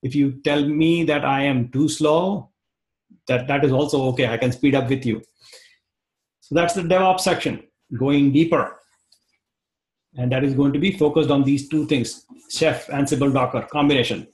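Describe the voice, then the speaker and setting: A man in his forties with an Indian accent, teaching an online class over a video call, talking quickly and restarting phrases. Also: medium pitch, a little mellow. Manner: fast-talking, repeats words, restarts phrases. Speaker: instructor. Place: home room, indoors. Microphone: webcam on video call.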